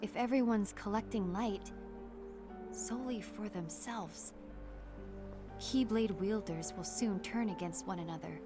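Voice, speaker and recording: A woman's voice: medium pitch, measured pace, over music, lightly acted. A woman playing a stern, composed leader in a fantasy video game cutscene, speaking seriously and resolutely. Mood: grave, foreboding. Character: stern, composed female leader of keyblade wielders.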